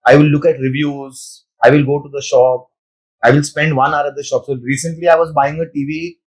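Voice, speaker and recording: A man who speaks fast, in a slightly husky, high voice. He is a guest in a relaxed chat and slips Hindi words into his talk. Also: low-quality recording. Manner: rapid, mixes in Hindi words. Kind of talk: casual chat.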